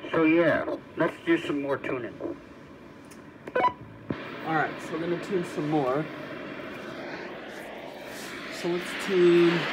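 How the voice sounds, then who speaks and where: A man presenting a casual hands-on hobby video, rambling and thinking aloud as he works, recorded on a phone. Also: on the high side. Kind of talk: casual hands-on radio demonstration. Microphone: phone camera at varying distance. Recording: noisy phone recording with radio static. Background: shortwave radio noise and static.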